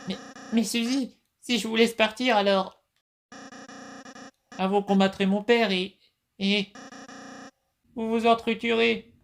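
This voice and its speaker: Woman playing a slow-spoken, timid little boy prince. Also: fairly high pitch, steady tone, soft background music. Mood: worried, earnest. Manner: hesitant, halting, earnest. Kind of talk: dramatic comic-dub game dialogue.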